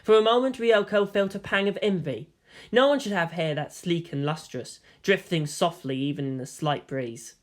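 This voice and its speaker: A narrator reading fan fiction aloud in a steady, even storytelling manner. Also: mid-range voice, normal speed.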